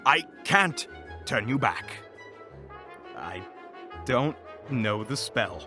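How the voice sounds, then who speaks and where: A man's voice: medium pitch, big pitch swings, drawn-out words, sharp tone, soft background music, full character voice. A man playing an arrogant, impatient villain wizard with a smug, theatrical manner in an audio roleplay. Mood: curt, irritated.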